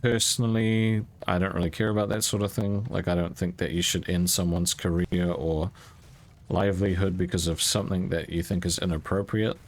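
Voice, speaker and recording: A man's voice: sits low, flat delivery, fairly quick. A young man telling a rambling personal story casually while gaming, candid and informal with some casual swearing. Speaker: YouTube content creator.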